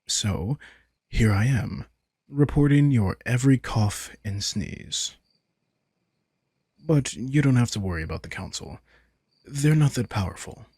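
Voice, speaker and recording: A man as a gravelly, smug vampire king. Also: medium pitch, expressive, a little slow, long pauses, no echo, clean studio sound. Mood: casual explaining. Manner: casual, teasing, softly condescending. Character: vampire king, male, smug, casual, manipulative charmer. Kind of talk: intimate roleplay audio drama.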